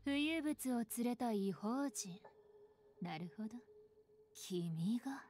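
A woman's voice: a little high, lively intonation, slow pace, darkish tone. A woman playing a cool, sardonic young nun in an anime game, curt and dryly cutting. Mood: coolly sardonic. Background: game background music.

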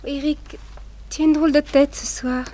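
A woman playing a naive young woman in love in a radio drama, speaking softly. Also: high-pitched, level tone, normal speed, close to an everyday voice. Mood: tender.

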